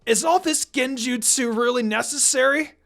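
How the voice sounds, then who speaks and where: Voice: sits high, normal speed, vocal fry, a bit bright, pitched above the performer's own voice. A reader voicing a brash, easily cowed teenage boy ninja in a story reading. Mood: panicked stammering. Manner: cocky, stammering when frightened.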